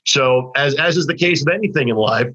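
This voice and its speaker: Man, sports talk host, slightly raspy and husky, bright forceful voice, rambling casual delivery, dull lo-fi audio. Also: medium pitch, fairly quick. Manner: conversational, rambling with restarts and fillers. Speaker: sports broadcaster hosting a high school basketball talk segment.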